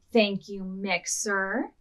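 A woman's voice: mid-range voice, sing-song, very slow, fairly bright tone. A woman in her thirties hosting a storytime as a children's librarian, talking expressively to small children as she reads aloud and gives simple instructions. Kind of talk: read-aloud and instructions for preschoolers.

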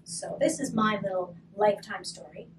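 A woman in her thirties, a hospice volunteer, gently reading a storybook to children and then explaining a craft, her voice often dropping to a whisper. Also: medium pitch. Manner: soft, slow and gentle, often trailing to a whisper. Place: curtained room, indoors. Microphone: far camera. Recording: distant mic with faint room noise.

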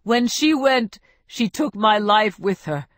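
A mournful, self-pitying painter in a read-aloud story, lamenting in a doleful voice, put on by a woman narrator. He speaks higher and louder than the narration, with a slightly distant timbre. The recording is dry and close. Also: animated, slow, no room sound.

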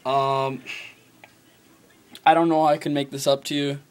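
A young American man talking casually and haltingly, as on an improvised prank phone call, recorded on a camera phone in a small room. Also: medium pitch, little pitch movement, clear, resonant tone. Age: teens or young adult. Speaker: prank caller. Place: small room at a desk, indoors. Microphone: camera phone at arm's length. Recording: noisy amateur phone video with clicks.

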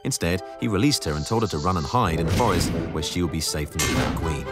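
A man narrating a comic fairy tale as a scripted, high-speed storybook reading. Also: lively intonation, quick pace. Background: loud music.

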